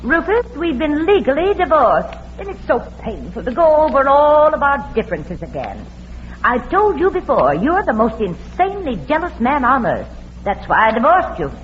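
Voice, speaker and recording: A woman's voice: sits high, very animated, normal speed, long reverb tail. Haughty society lady, condescendingly polite, swooping intonation, vintage radio drama, muffled noisy recording. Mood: impatient, exasperated. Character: haughty, evasive divorced society woman, deliberately pleasant. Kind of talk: old-time radio drama acting.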